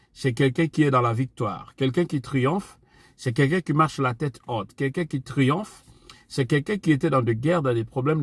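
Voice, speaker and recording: Man, Portuguese speaker, preacher, deliberate repeated refrains, dry close sound. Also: medium pitch, no echo. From Angola. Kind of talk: online sermon.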